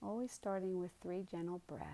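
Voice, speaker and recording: A woman leading a guided meditation in a soothing, unhurried way, with soft, gentle delivery and pauses. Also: on the low side, steady tone, low-quality recording.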